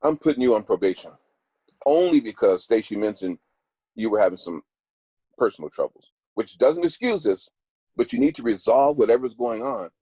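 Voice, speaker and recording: A man's voice: medium pitch, lively intonation, normal speed, warm tone, breathy edge, no room sound, poor audio. An actor at a table read playing a serious professional man who speaks firmly. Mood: stern, measured. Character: stern male law firm boss, measured and firm; also plays a frustrated long-distance boyfriend.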